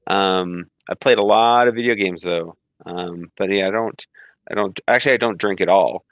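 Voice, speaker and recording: Hesitant low-voiced man, full of ums. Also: normal speed, a bit bright, no echo. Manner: hesitant, many ums and uhs, laughs often. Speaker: podcast guest, author. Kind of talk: casual podcast chat.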